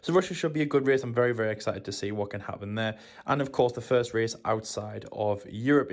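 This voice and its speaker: A man co-hosting a motorsport show, giving a steady race preview full of "of course". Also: medium pitch. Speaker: co-host.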